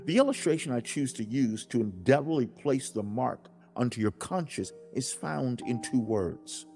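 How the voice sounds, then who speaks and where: A man's voice: mid-range voice, expressive, normal speed, dark tone, lightly acted. A man playing God as a stern fatherly teacher, solemn and declamatory, in a dramatized religious audiobook monologue. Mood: firm, instructive. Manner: solemn, declamatory, lecturing with rhetorical questions. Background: music.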